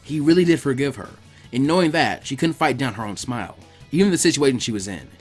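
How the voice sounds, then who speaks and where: A man narrates a story with very lively intonation. His pace is quick and his delivery expressive. Faint music plays behind his voice. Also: medium pitch, breathy edge. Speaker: fan fiction narrator.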